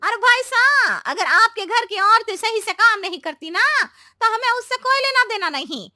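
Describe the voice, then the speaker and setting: Woman doing a shrill, scolding Indian mother-in-law. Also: expressive, normal speed, no room sound, studio quality, heavily acted. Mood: fierce, indignant. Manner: sharp, bossy, ends remarks with a huffy "haan". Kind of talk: animated moral-story cartoon voice acting.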